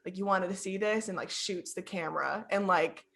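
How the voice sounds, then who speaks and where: A woman's voice: fairly low pitch, a little fast, creaky, a bit bright. Woman, podcast guest, casual chat, rambling, giggly, filler-heavy. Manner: chatty, lots of "like" fillers, giggly. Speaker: guest streamer.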